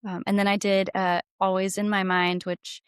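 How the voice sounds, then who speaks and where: A woman's voice: mid-range voice, flat delivery, normal speed, clean tone, no room sound, clean studio sound. A woman chatting casually as a podcast guest, with an enthusiastic, informal way of talking. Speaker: podcast guest, singer-songwriter. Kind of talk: casual chat.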